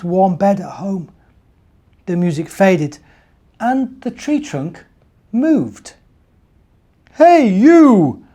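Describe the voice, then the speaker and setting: A man's voice: high voice, dark tone. A man speaking as a minister at story time, reading and chatting simply to young children. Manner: asks the young listeners questions. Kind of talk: children's story time, narration and gentle talk.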